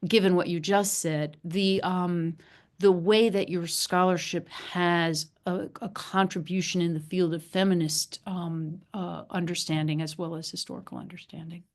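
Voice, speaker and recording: Low-voiced woman moderating a Q&A. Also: fairly even intonation, moderate pace. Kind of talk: reading out an audience question.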